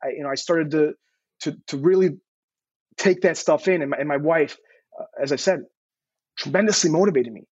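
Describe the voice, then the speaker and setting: A man's voice: on the high side, a little fast, long pauses, darkish tone, husky, dry recording, dull audio. A man in his thirties, born in Serbia, telling his story as an interview guest in an earnest way, stumbling and repeating words. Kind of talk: casual interview.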